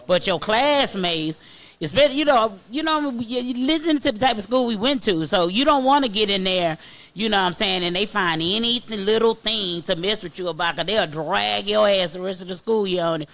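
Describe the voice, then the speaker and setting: High, raspy man. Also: fairly quick, a bit bright, no echo. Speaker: guest, high school alum. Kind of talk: casual reminiscing chat.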